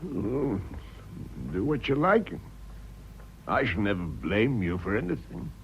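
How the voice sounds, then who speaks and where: An actor playing an older husband in a radio drama, offhand, terse and resigned. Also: male voice, on the low side, sing-song, slow pace, heavily acted. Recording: muffled radio drama audio, some noise.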